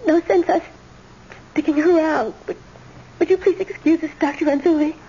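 An actress playing a devoted, emotional young granddaughter in an old-time radio drama. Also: female voice, sits high, steady tone, moderate pace, warm tone, lightly acted. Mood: hopeful, resolute. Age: young adult. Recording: muffled, noisy old radio recording.

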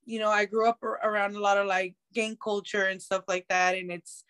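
A woman from San Diego whose first language is Spanish, a rapper chatting casually on a podcast, relaxed and laughing easily with casual swearing. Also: mid-range voice, a little fast, clean tone. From San Diego, USA. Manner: laid-back, laughs often, swears casually, trails off. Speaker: rapper guest.

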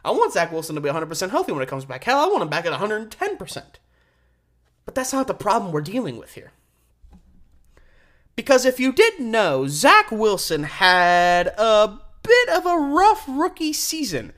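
A man hosting a sports radio show solo, giving opinionated, emphatic commentary with deliberate pauses and rhetorical questions. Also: high voice, wildly swooping pitch, a bit bright.

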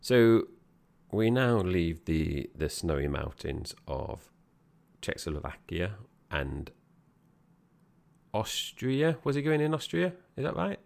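Brief: male podcast co-host, very low gravelly voice, casual rambling film chat. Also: big pitch swings, takes it slowly, darkish tone. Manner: chatty, meandering with fillers and restarts.

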